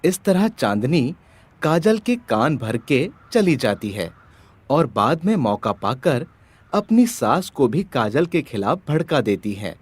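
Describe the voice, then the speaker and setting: A man's voice: medium pitch, big pitch swings, takes it slowly, slight background noise. A man narrating a scripted story as the storyteller.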